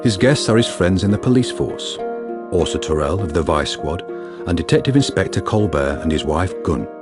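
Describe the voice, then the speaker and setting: A man's voice: sits low, expressive, normal speed. A man narrating a crime story in a composed, scripted radio drama style. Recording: music under the narration.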